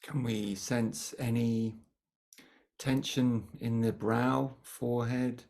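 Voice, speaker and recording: Gentle meditation teacher, medium pitch, slow guided practice w/ long pauses between phrases. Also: steady tone, gravelly.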